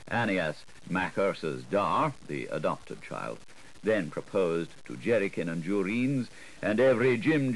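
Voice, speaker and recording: A man's voice: fairly low pitch, poor audio. A man giving a theatrical audiobook reading of literary prose, in a declamatory, rhythmic way. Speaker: audiobook narrator.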